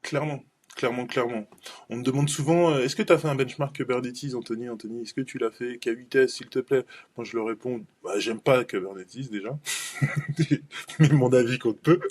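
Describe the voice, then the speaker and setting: A man's voice: medium pitch, normal speed, a little mellow, husky. A man presenting a cloud benchmarking webinar in a casual, rambling way, full of fillers and restarts.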